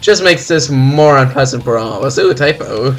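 A man's voice: mid-range voice, expressive, normal speed, soft background music. A man hosting a let's-play, reading visual novel text aloud with exaggerated, dramatic delivery.

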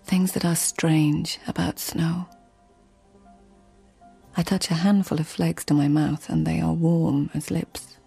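An actress playing a poised, poetic court lady in a radio drama, refined and measured, at times hushed. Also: deep voice, flat delivery, gravelly, slightly rough, faint music behind, full character voice. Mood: hushed, dreamy. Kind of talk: radio drama acting, poetic narration and dialogue.

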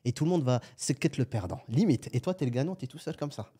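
A man on a podcast with a husky, somewhat low voice, talking fast. He is a guest entrepreneur who tells his points as little acted-out scenes. His tone is dark and mellow. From France. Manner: animated storytelling, acts out little scenes. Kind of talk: casual podcast conversation.

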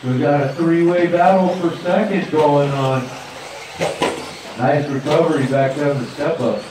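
A man's voice: fairly high pitch, measured pace, very echoey, distant mic, background noise. A man giving live play-by-play commentary at an outdoor dirt track. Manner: steady play-by-play calling. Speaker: race announcer.

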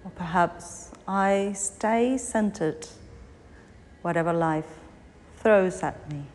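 Very slow-speaking woman guiding meditation. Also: fairly low pitch, darkish tone. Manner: unhurried, pausing between short phrases. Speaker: yoga nidra meditation teacher. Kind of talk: guided meditation, body scan narration. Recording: long hall reverb, faint background noise.

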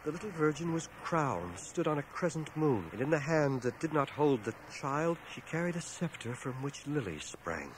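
An actor playing a war veteran who soberly recounts his battle memories in measured, articulate, literary storytelling for a radio drama. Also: a little high, big pitch swings. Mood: awed. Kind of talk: dramatized first-person narration, radio drama. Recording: noisy cassette of 1980 radio drama.

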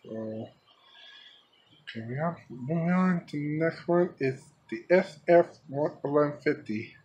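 Guy showing off his collection on a homemade video, slow choppy talking, bit of noise behind him. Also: fairly high pitch, lively intonation, a little mellow, dull audio. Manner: halting, fragmented phrasing. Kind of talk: unscripted product showcase vlog. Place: small room by a window. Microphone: handheld camera, speaker off screen. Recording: background noise, homemade handheld camera audio.

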